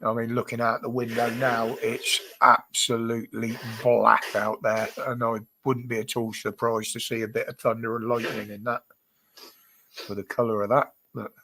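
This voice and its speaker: A man's voice: mid-range voice, flat delivery, normal speed, sharp tone. A British man hosting a casual livestream chat, his nose blocked up, chatty and greeting viewers by name with frequent chuckles. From United Kingdom. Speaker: livestream host.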